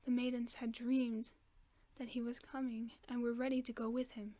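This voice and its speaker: A woman voicing a gentle, caring werewolf friend, reading a bedtime story in a soft, often whispered voice for a sleep-aid roleplay. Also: fairly high pitch, little pitch movement, no echo, close to an everyday voice. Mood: soothing. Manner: slow soothing bedtime reading.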